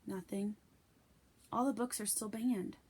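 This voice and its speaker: A woman's voice: mid-range voice, fairly even intonation, a little slow, darkish tone, husky. A woman reading a children's book aloud as a guarded, bookish schoolgirl. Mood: flat, deflated.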